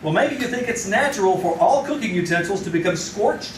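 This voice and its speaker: A man's voice: sits high, animated, brisk, noisy background. A man announcing a sponsor message in an old-time radio style. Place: live theater stage. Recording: distant echoey stage recording with music. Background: music.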